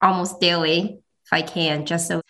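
A woman's voice: fairly low pitch, fairly even intonation, normal speed, fairly bright tone. A young woman whose first language is Cantonese, answering interview questions modestly and laughing easily. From China. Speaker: interview guest.

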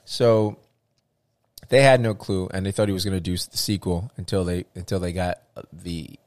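A man's voice: low-pitched, fairly even intonation, moderate pace, darkish tone, dry recording. A man talking in casual chat as a show host, rambling with many restarts and fillers.

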